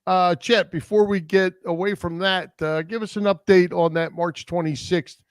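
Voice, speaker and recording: A man's voice: high voice, steady tone, moderate pace, smooth, no echo, studio quality. A man co-hosting a casual sports podcast, chatting informally and sometimes hesitating as he thinks.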